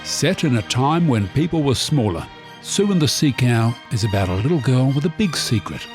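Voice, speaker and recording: A man's voice: medium pitch, very animated, normal speed, darkish tone. Male radio announcer over music. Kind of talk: mock-epic scripted radio promo narration.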